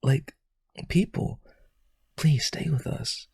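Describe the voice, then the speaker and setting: A man playing a lonely vampire aristocrat in a romantic audio roleplay, pleading earnestly and haltingly in a breathy, husky voice. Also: a little mellow, dry recording, studio quality, full character voice. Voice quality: husky, breathy low voice, often near whisper.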